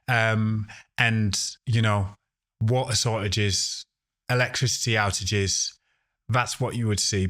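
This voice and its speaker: A man from Ghana and the UK, answering conversationally as a podcast guest, with halting restarts. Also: mid-range voice, fairly bright tone. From Ghana, later the UK. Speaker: podcast guest, sustainability professional.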